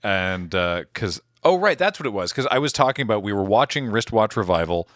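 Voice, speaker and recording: A man with a baritone voice co-hosting a casual chat, rambling with many restarts and laughing often. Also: sing-song, fairly quick, fairly bright tone, no room sound, studio quality. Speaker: podcast co-host.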